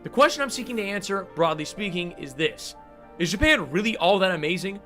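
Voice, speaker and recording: A man reading scripted narration for a video essay in a measured, explanatory style. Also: high-pitched, expressive, over music.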